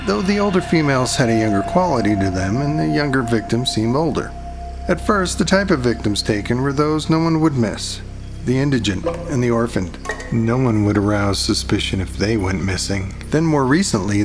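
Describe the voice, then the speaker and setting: A somber male detective , acted by a man, recounts a grim case in a crime audio drama. His voice sits a little low and his delivery is measured and serious. Music plays clearly behind him. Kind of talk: first-person crime drama narration. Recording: music playing under the voice.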